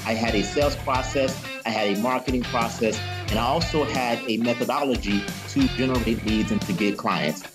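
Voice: medium pitch, moderate pace, few pauses. Show intro read over guitar. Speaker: podcast intro narrator.

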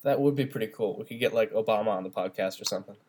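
Fast-talking young man with a stuffy nose. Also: on the low side, darkish tone, slightly rough. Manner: playful, teasing banter. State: stuffy nose and sore throat. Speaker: podcast co-host. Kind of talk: casual chat. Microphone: phone.

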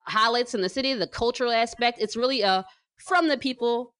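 A woman's voice: on the high side, brisk, a bit bright, clear, resonant tone, no echo, clean studio sound. An American woman from New Jersey co-hosting a casual, chatty podcast, speaking with emphatic repetition. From New Jersey, United States. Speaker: podcast co-host.

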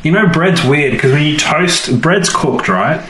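A man co-hosts a podcast with playful, casual banter. His pitch moves around a lot as he jokes. The recording is echoey, with a little background noise. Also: lively intonation, a little slow, pushed and bright. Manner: joking, playful interjections. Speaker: podcast co-host.

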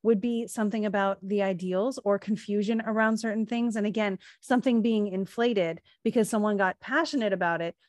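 A woman's voice: mid-range voice, a little fast, hardly any pauses, dry recording, clean studio sound. A woman co-hosting a casual spiritual podcast, explaining at length with lots of fillers and restarts. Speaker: podcast co-host, astrologer.

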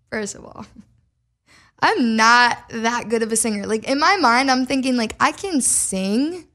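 A woman's voice: fairly high pitch, takes it slowly, long pauses, a bit bright. A young woman from Minnesota, a singer and podcast guest, telling her story in a chatty way full of "like" fillers. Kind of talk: casual storytelling chat.

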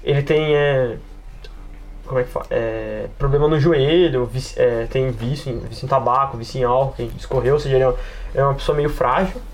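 A man's voice: mid-range voice, fairly even intonation, a little fast, slight background noise. A man chatting casually on an RPG podcast, informal and hesitant, often circling back to rephrase himself. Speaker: RPG podcast participant and player. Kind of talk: casual chat.

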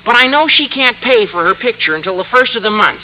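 An actor playing an eager, scheming high school boy with a squeaky adolescent voice in an old radio comedy. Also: animated, fast, very noisy. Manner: earnest, wheedling, self-justifying. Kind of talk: 1950s radio comedy acting. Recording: old radio broadcast recording.

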